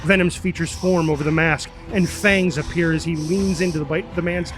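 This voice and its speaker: A man's voice: fairly high pitch, steady tone, normal speed. A man reading dramatic, punchy scripted narration and stage directions for a superhero action story. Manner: punchy, present-tense delivery with sound-effect words. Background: music.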